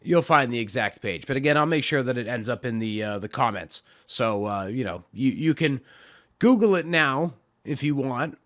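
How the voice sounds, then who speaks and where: A man hosting his own podcast, talking in a loose, rambling way with lots of fillers, his voice hoarse. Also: medium pitch, expressive, normal speed, a bit bright. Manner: rambling, filler-heavy, self-deprecating. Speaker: podcast host. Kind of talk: casual solo podcast talk.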